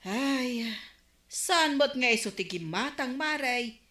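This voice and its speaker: A woman playing a defensive village liquor seller in an Ilocano radio comedy drama, animated and protesting. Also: sits high, takes it slowly, roomy sound, exaggerated voice.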